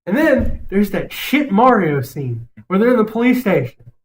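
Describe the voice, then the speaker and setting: A young adult podcast co-host with a mid-pitched voice. The delivery is casual and opinionated, with emphatic, rambling sentences and plenty of swearing. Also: very animated, normal speed.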